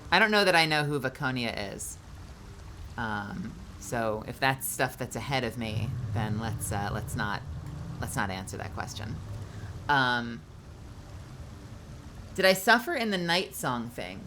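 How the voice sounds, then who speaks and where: A woman's voice: extremely low pitch, lively intonation, measured pace, pauses often, sharp tone, slight background noise. A woman streaming a game live, chatting casually with her audience while congested and nasal. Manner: chatty, scattered, talks to chat. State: stuffy, congested.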